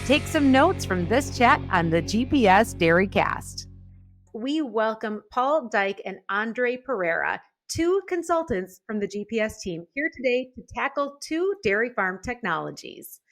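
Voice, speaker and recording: A woman's voice: fairly high pitch, big pitch swings, a little slow, dry recording. A woman host reading a polished, promotional podcast introduction. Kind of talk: scripted intro narration. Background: faint music bed.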